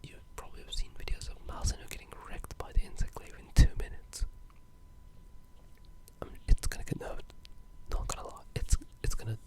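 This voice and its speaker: A person whispering a casual, halting ASMR ramble about video games, in a breathy, unvoiced voice. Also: rough recording. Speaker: video game ASMR creator.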